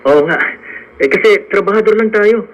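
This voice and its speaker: An actor playing an earnest, hopeful young farmhand with a wistful manner in a Filipino radio drama. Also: male voice, high voice, normal speed, close to an everyday voice, rough recording. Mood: earnest, patient. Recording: radio broadcast with faint noise.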